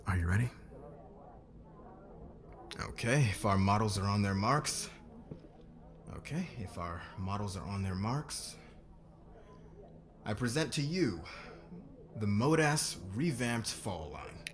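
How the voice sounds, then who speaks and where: A man playing a low-voiced, commanding company boss. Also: lively intonation, takes it slowly, long pauses. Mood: polished, confident. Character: demanding, controlling fashion company boss, a man. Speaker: voice actor in an audio roleplay. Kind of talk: ASMR audio roleplay acting.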